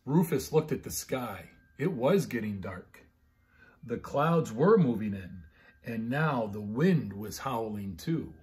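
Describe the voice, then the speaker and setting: A man's voice: mid-range voice, lively intonation, takes it slowly, a little mellow. A middle-aged American man, a pastor chatting casually and reading children's bedtime stories expressively. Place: living room at home, indoors.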